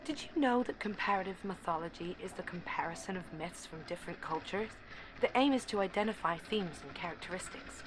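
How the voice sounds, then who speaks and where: A woman's voice: on the low side, animated, a little fast, lightly acted. A woman playing an eager, naive young university student confiding earnestly in an audio drama monologue. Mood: keen, earnest. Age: young adult. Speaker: actor. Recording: clear background ambient noise.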